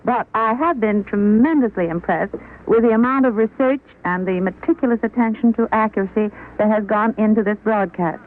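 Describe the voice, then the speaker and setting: A middle-aged woman giving a formal, articulate guest interview on a tinny 1940s radio broadcast. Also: a little high. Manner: articulate, formal, measured phrasing. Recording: tinny vintage radio recording.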